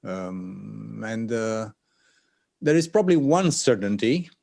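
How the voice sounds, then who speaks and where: A man, an alliance official, giving a measured, thoughtful answer with frequent pauses over a video call. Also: fairly low pitch, big pitch swings, vocal fry, a little mellow. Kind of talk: panel conversation answer. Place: remote location for an online panel.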